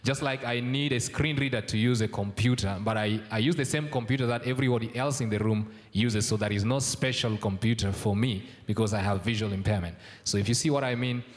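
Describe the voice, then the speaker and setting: A young man from South Sudan giving a formal conference speech, measured and full of ums and uhs. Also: on the low side, fairly even intonation. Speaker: conference speaker. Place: large conference hall. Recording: long hall reverb.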